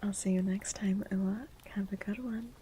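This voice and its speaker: Mellow whispering woman, low register. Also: steady tone, normal speed, a little hiss or hum. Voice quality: feminine voice on the low end of the register. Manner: soft unvoiced whispering. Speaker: streamer. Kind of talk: whispered ASMR chat and legend reading.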